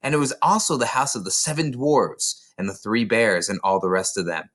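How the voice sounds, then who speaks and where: A man's voice: medium pitch, brisk, fairly bright tone. A man reading a children's novel aloud as scripted narration. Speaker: storybook reader.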